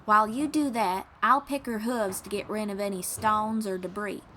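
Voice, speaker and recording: A woman's voice: mid-range voice, steady tone, moderate pace, a little hiss or hum, natural voice. A woman playing a friendly young traveling bard in an audio roleplay, encouraging and reassuring, with a folksy drawl and casual phrasing. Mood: patient, instructive. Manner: encouraging, reassuring, gently guiding. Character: friendly young female traveling bard who teaches riding. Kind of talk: second-person audio roleplay acting.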